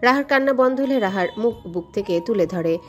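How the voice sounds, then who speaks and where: A woman's voice: a little high, animated, brisk. A woman narrator reading a scripted romantic story. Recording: voice over a music bed.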